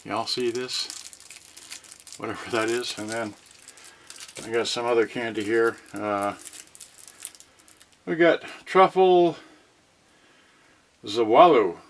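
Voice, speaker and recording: A man giving casual, halting unboxing commentary, muttering mildly grumbling asides to viewers. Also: mid-range voice. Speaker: unboxing vlogger. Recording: noisy recording with rustling packaging. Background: clicks and crinkling packaging.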